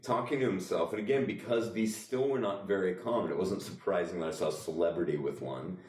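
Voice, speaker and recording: Low-voiced man, echoey and off-mic. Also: brisk, darkish tone, far from the mic. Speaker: podcast co-host. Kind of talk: casual comedic podcast banter and storytelling.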